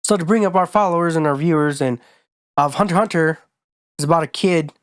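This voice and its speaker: A man co-hosting a podcast in casual chat, his voice hoarse from being sick, talking in a laid-back, rambling, slangy way. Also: a little high, quick pace, dry recording, studio quality. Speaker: podcast co-host.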